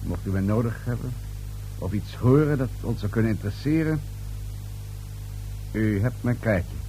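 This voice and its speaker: An actor in a radio play playing a calm, sly police inspector with an understated, knowing manner. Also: male voice, lowish voice, normal speed, faint music behind, low-quality recording. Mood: businesslike. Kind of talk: radio drama acting.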